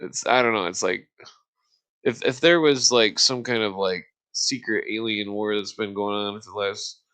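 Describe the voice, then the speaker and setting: An American man in a casual podcast chat, rambling and halting, often trailing off into digressions. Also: on the low side, sharp tone.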